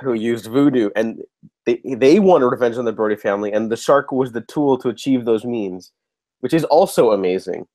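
A man with a slightly nasal voice from a lingering cold hosts a movie podcast at mid pitch. He chats loosely, restarting sentences and swearing casually. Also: normal speed, dark tone. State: getting over a cold, a bit nasal. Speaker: podcast host.

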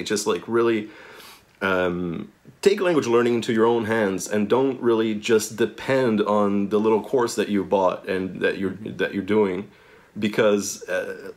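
A fairly low-voiced man from Brazil, speaking English as a guest in a relaxed interview. He rambles, circles back and fills his sentences with "like" and "you know" as he works through his ideas. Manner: rambling, many fillers and restarts. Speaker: guest, language teacher. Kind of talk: casual interview chat.

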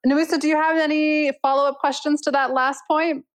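A woman's voice: high-pitched, flat delivery, fairly quick. A woman co-hosting a podcast, talking in an upbeat, playful way and laughing between lines as she explains a game segment. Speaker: podcast co-host.

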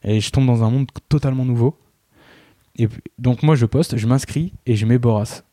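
A man's voice: medium pitch, normal speed, mellow, dry recording, clean studio sound. A man in his thirties, chatting casually and telling a self-mocking story. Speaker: guest. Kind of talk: casual podcast conversation.

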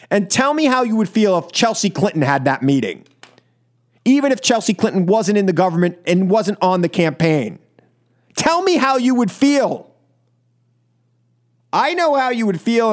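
An American man hosting political talk radio, speaking emphatically and with exasperation, often repeating phrases and posing rhetorical questions. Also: high-pitched. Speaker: radio talk show host. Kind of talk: political opinion monologue and caller talk on radio.

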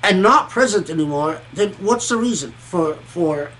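A man from Egypt and Kuwait giving an informal scholarly commentary on scripture, thoughtful and halting, often repeating words and pausing. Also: high-pitched, animated, fairly bright tone, rough recording.

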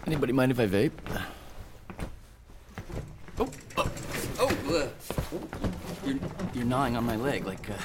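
A man's voice: mid-range voice, lively intonation, unhurried, pauses often, background noise, natural voice. An actor playing a wry novelist and father in a comedic audio drama, dry and teasing.

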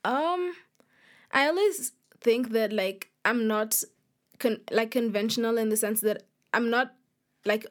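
Young female interviewee, fairly high voice, casual, informal, searching delivery with frequent filler "like". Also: measured pace. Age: young adult. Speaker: podcast guest, young author.

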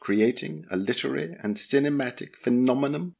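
A man's voice: medium pitch, a little fast, creaky. A man with a British accent hosting a podcast and reading a scripted essay aloud. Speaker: podcast host and reader.

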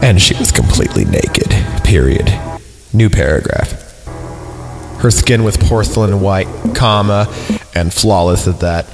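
A man's voice: low-pitched, animated, measured pace, vocal fry. A man hosting a podcast, reading a horror story aloud in a sardonic way, with mocking asides. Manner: sardonic, reads punctuation aloud. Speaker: podcast host reading creepypasta. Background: background music.

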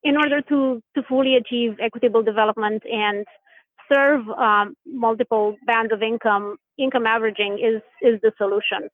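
A woman's voice: a little high, steady tone, normal speed, no echo. A woman speaking as an interviewed property developer, in spoken interview style over a phone line. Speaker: housing developer being interviewed. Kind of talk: interview talk. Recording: tinny muffled phone line.

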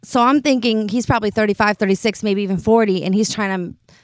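A woman's voice: mid-range voice, fast, no room sound. Chatty woman of thirty telling stories. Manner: chatty, anecdotal storyteller. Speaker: podcast co-host. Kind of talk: casual chat.

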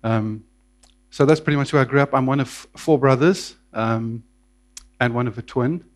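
A man's voice: mid-range voice, normal speed. A man, telling his life story to a church in a casual, hesitant way with many fillers. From South Africa. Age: 30s. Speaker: interview guest.

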